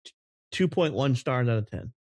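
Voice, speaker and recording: Man, podcast co-host, middling pitch, casual movie chat, reading out facts, very dry recording. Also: expressive, moderate pace, darkish tone, no echo.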